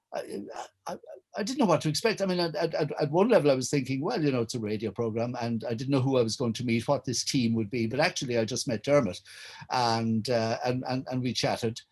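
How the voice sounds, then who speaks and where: A middle-aged or older Irish man telling wry anecdotes as a guest, in a hesitant, halting manner. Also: mid-range voice. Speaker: guest, writer and director. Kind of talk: anecdotal reminiscence in a public conversation.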